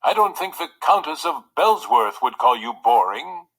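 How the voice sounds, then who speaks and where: A man's voice: medium pitch, big pitch swings, measured pace, a bit bright, slightly rough, full character voice. A man voicing an older royal valet in a storybook, loyal and frank, advising his master. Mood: stiff, consoling. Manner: formal and stiff, turning blunt and casual.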